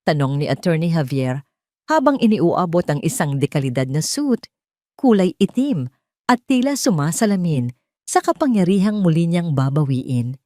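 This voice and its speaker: A woman's voice: low-pitched, big pitch swings, normal speed, darkish tone, dry recording, clean studio sound. A woman with a Filipino accent narrating a scripted dramatic story.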